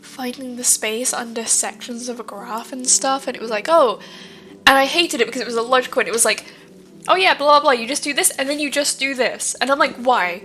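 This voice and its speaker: Bright-voiced woman streamer chatting casually. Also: sits high, a little fast. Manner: rambling, many fillers, swears casually. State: stuffy nose from hay fever. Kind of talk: casual chat with viewers.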